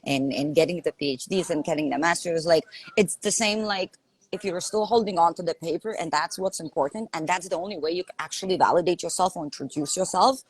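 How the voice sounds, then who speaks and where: A woman's voice: fairly low pitch, fairly quick, slightly rough, low-quality recording. A woman with a Lithuanian accent, a coach talking earnestly in a podcast conversation, often asking "right?". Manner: earnest, emphatic, repeats herself and asks "right?".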